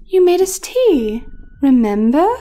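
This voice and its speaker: A woman voicing a mysterious, imperious mare with a smooth voice and a cool, condescending, commanding manner. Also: sits high, sing-song, slow, warm tone, voice pushed up, full character voice. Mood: mocking. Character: mysterious, imperious unicorn mare, mocking and sinister.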